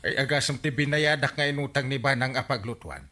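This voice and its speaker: An actor with an Ilocano accent playing a hapless, whiny village captain short of money in a radio comedy. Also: male voice, medium pitch, brisk, pushed and bright, lightly acted. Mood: tearful, whining. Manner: whiny, exaggerated, swooping reactions.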